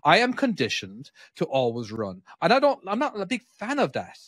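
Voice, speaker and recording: Truck-driving podcast co-host from Ireland, rambling and swearing, raised pitch, dry sound. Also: male voice, huge pitch swings, normal speed, a bit bright, no echo. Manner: rambling, swears a lot, many restarts. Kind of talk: casual podcast chat.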